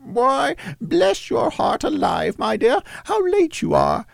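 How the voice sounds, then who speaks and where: A man voicing a bustling, warm-hearted working-class mother in a storybook, fussy and affectionate as she exclaims. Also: very high voice, huge pitch swings, slow, creaky, dry recording, studio quality, pitched above the performer's own voice, full character voice. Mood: fondly fussing. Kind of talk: storybook reading of a Victorian tale.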